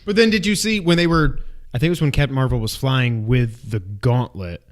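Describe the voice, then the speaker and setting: A man's voice: mid-range voice, brisk. Casual, rambling male co-host. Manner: rambling, self-correcting, excitable asides. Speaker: film review co-host. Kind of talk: casual unscripted movie discussion.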